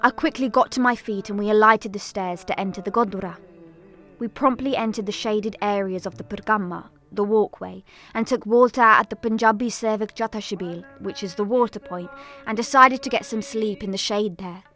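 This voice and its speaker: A woman's voice: mid-range voice, fairly quick, fairly bright tone, faint music behind, close to an everyday voice. A woman playing an earnest young pilgrim who narrates a family tragedy in a scripted audio drama.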